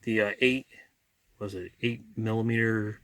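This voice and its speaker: A man's voice: fairly low pitch, fairly even intonation, unhurried, fairly bright tone, no echo. A man with a folksy, rambling manner, chatting casually as a vlogger inside a truck cab. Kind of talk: casual vlog chat.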